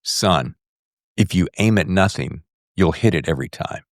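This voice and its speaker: A man's voice: low voice, animated, normal speed, no room sound. A middle-aged man hosting a podcast in casual, enthusiastic and effusive interview chat, speaking close to the microphone. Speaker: podcast host.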